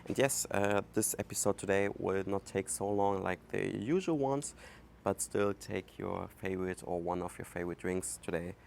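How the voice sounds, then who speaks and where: A man from Germany with a German accent, hosting his own podcast in a casual, halting monologue recorded outdoors, thinking aloud with many fillers. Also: low voice, gravelly, darkish tone. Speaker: podcast host. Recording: faint outdoor background noise. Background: outdoor ambience.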